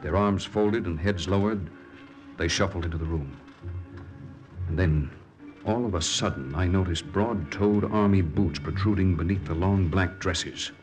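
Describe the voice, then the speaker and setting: Deep-voiced, earnest secret agent. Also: male voice, faint music behind, poor audio. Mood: tense, hushed. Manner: earnest, deliberate, dramatic. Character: earnest young male American secret agent recounting his mission. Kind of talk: 1940s radio drama narration and acting.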